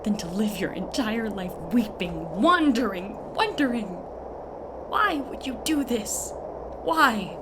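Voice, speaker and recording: A woman's voice: on the high side, sing-song, takes it slowly. A woman playing an anguished, lonely figure in an audio drama, lamenting in a theatrical, repetitive way. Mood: anguished, accusing. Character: anguished, lonely woman mourning a wasted life. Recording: clear background noise and ambience.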